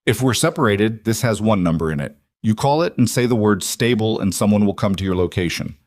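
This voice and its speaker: A man's voice: on the low side, animated, a little fast, darkish tone, no room sound, studio quality. A man voicing a controlled, terse professional woman who gives commands in an audiobook thriller. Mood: terse, commanding.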